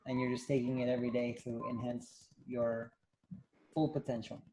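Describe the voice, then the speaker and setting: A young man chatting casually on a video call from his living room, relaxed and a bit hesitant with lots of fillers. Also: mid-range voice, normal speed, slight background noise, rough recording. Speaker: new business recruit. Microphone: desktop studio mic on video call.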